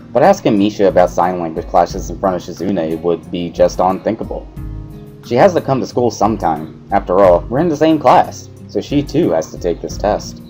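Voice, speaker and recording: Expressive male story narrator, lively pitch. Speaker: narrator of a dramatic game reading.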